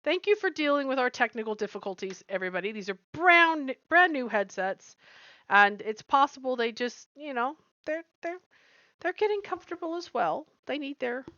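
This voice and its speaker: A woman's voice: sits high, fairly bright tone, no room sound, highs cut off. An American woman co-hosting a livestream with casual, playfully dramatic banter. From US West Coast. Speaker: livestream co-host.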